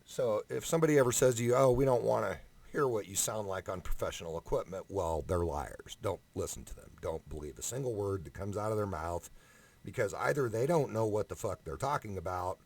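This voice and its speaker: A man with a fairly low voice and a strong gravelly creak delivers a casual vlog rant. He is blunt and emphatic, swearing freely as he makes his points. He speaks very close to a condenser microphone. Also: expressive, a little fast, darkish tone. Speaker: amateur singer giving audition advice. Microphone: condenser microphone up close, no preamp.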